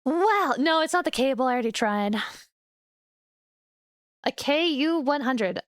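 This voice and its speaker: A high-pitched young woman talking to her stream in a relaxed, chatty way, laughing easily between thoughts. The sound is close and dry, with no room around it. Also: unhurried, no room sound. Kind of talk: casual chat with stream viewers. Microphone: studio microphone.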